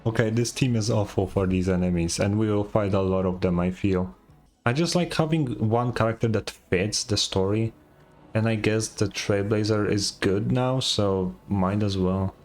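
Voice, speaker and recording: A man's voice: fairly low pitch, normal speed, darkish tone. A man who is not a native English speaker, giving casual commentary on a game, musing aloud and swearing. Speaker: gaming streamer. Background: game music.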